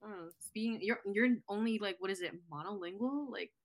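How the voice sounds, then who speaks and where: A woman's voice: mid-range voice, fairly quick. A young woman from the United States, a podcast guest chatting casually and leaning heavily on fillers. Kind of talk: casual interview chat.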